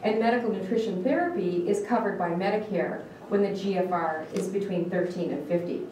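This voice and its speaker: A woman's voice: medium pitch, brisk, mellow, a little hiss or hum. A dietitian from Michigan, with a Michigan accent, gives an earnest talk and puts questions to a live audience, often restarting her words. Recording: echoey room picked up from a distance.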